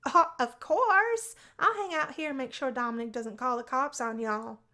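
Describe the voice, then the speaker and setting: A woman's voice: sits high, normal speed, pushed and bright. A woman voicing a quirky, cheerful young female roommate in a visual novel, talking in a bubbly, playful way. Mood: confiding.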